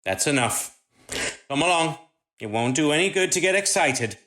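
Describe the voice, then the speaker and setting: A man's voice: fairly high pitch, lively intonation, moderate pace, a bit bright. A man playing a courteous police officer in a radio play, formal and polite, ready for petty debate. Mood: courteous, composed.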